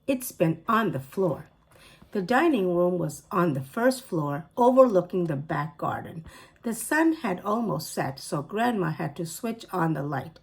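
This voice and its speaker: A woman teacher reading a children's chapter book aloud, clear and expressive. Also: lowish voice, a little slow. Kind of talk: storybook read-aloud.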